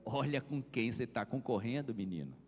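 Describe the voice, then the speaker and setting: A man's voice: mid-range voice, animated, fairly quick, a little mellow, soft background music. A man preaching a sermon as pastor, driving his points home with swelling emphasis and repeated refrains. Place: church hall. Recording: reverberant church hall.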